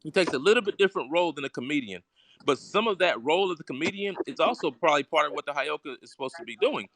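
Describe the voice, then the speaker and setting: A man's voice: fairly high pitch, fairly bright tone, a little hiss or hum. A man in casual live-stream chat, rambling and reflective, laughing often. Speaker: doctor.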